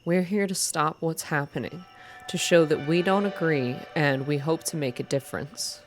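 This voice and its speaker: A woman voicing a calm, earnest male activist leader in a story game, measured and persuasive, with music behind. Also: deep voice, close to an everyday voice.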